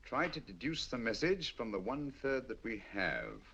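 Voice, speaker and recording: A shrewd detective, measured and deliberate, lively pitch, slightly husky, dull old film sound. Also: male voice, exaggerated voice. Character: shrewd calm male detective, middle-aged. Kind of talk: 1940s film acting.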